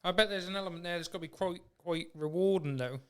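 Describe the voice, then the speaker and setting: A man's voice: high voice, quick pace, clean tone. An English man hosting a podcast, chatting in an informal, supportive and rambling way. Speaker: podcast host. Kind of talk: casual conversational interview.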